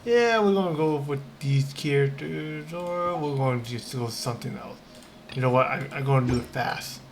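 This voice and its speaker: A man's voice: mid-range voice, moderate pace. Casual male game streamer, noisy background. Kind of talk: casual let's-play commentary. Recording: noisy mic, game audio behind.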